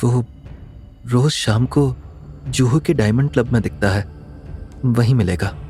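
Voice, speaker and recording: A man voicing an anxious, respectful young woman in an audio drama, halting and fearful. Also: mid-range voice, mellow, faint music behind, lightly acted. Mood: worried, reluctant. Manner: trembling, halting, choked speech.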